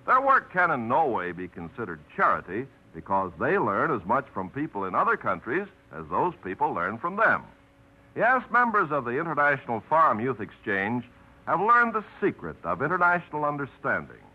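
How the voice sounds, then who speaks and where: Brief: man announcer, very animated pitch, formal, muffled old radio. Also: husky. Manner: measured, declamatory. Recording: muffled 1950s radio broadcast, faint music.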